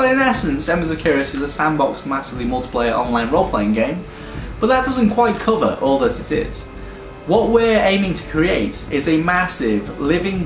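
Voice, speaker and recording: A British man pitching his game studio's project, talking enthusiastically with frequent ums and restarts. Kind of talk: earnest crowdfunding pitch. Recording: echoey room with faint music.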